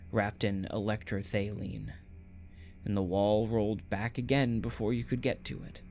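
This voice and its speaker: A man playing a desperate condemned poet in a dystopian audio drama, with an intense, dramatic delivery. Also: lowish voice. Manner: intense, pleading, stammering when distressed. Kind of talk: dramatic audio drama acting with first-person narration.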